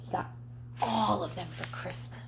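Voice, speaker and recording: A woman reading a picture book aloud to young children, expressive, with gentle explanatory asides. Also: fairly low pitch, moderate pace, creaky, slight background noise, low-quality recording.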